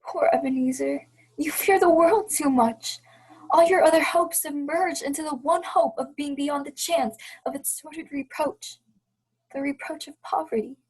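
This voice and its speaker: A woman's voice: sits high, moderate pace, a little mellow, natural voice, low-quality recording. A young woman playing a heartbroken but resolute, dignified fiancée in a radio play, speaking earnest, formal period English. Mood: wounded, resolute. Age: young adult.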